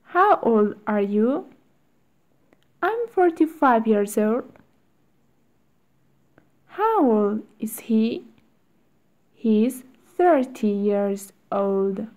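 A woman reading short English phrases aloud one at a time, with long pauses for learners to repeat. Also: a little high, lively intonation, darkish tone. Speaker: English teacher. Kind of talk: slow read-aloud drill for learners.

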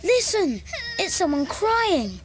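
A woman's voice: very high-pitched, very animated, slow pace, a little hiss or hum, heavily acted. A woman playing an eager young child in a gang, in a children's audio drama. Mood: curious.

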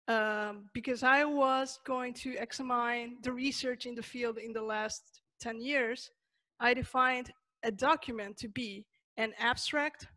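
A young woman from Peru, a Spanish native speaker with a Peruvian accent, gives an online lecture over slides, pausing often with fillers and restarts. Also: a little high, pushed and bright, no room sound. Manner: thoughtful, many fillers and restarts. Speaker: webinar presenter.

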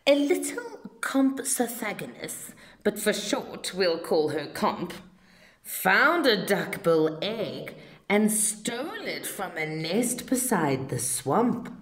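A woman reading a children's story aloud with expressive, dramatic emphasis and deliberate phrasing. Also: medium pitch. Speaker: storybook reader. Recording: roomy, echoing home recording.

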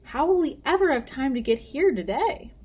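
A woman's voice: sits high, big pitch swings, moderate pace, lo-fi sound. Woman reading as curious, eager little storybook girl. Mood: curious, puzzled.

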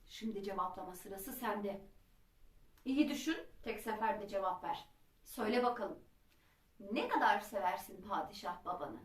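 A woman's voice: mid-range voice, moderate pace, close to an everyday voice. A woman storyteller voicing a proud, commanding old king and father with emphatic delivery. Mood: stern, expectant. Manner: imperious, exclamatory, emphatic. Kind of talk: acted folk-tale storytelling. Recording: roomy echo, distant microphone.

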